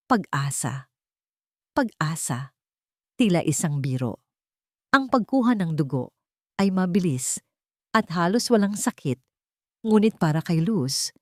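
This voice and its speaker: A Filipino woman narrating a dramatic story as an audiobook, in a measured storytelling manner. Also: fairly low pitch, darkish tone, no room sound, studio quality.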